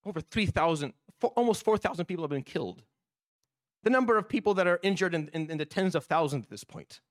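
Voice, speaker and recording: A man's voice: high-pitched, lively intonation, fast talker, dry recording, clean studio sound. A man delivering a Friday sermon as imam, impassioned and repeating his points. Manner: impassioned, repetitive.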